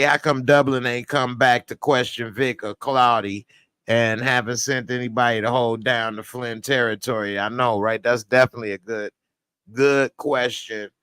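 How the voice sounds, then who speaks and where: A man's voice: medium pitch, a bit bright, dry recording. A man giving a casual spoken review of a TV episode, blunt and slangy, sometimes breaking into heated rants. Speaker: TV series reviewer.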